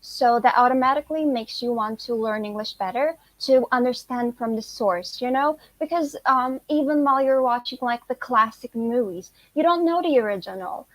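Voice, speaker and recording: A woman's voice: a little high, moderate pace, few pauses, no room sound, rough recording. A sixteen-year-old girl whose first language is Turkish, answering interview questions casually and filling her speech with "like" and "you know". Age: teens. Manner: chatty, lots of "like" and "you know". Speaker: guest, high school student.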